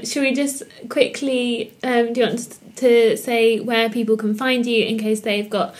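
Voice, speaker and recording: A woman's voice: fairly high pitch, flat delivery, measured pace. A woman hosting a podcast, encouraging her guest and laughing often. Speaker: podcast host. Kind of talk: casual interview chat.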